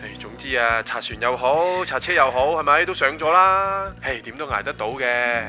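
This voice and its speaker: An actor playing an earnest, upbeat young boyfriend with a Hong Kong accent in a radio drama. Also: male voice, mid-range voice, lively intonation, moderate pace, pushed and bright, faint music behind. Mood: encouraging. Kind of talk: Cantonese radio drama acting.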